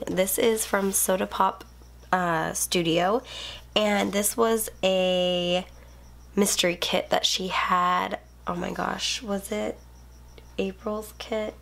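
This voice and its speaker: Young mom planner youtuber, bit of vocal fry, sniffly, rambly chatty at her desk. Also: mid-range voice. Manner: chatty, rambling with many ums and likes. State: sniffly, unwell. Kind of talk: casual plan-with-me chat. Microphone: overhead camera, hands only.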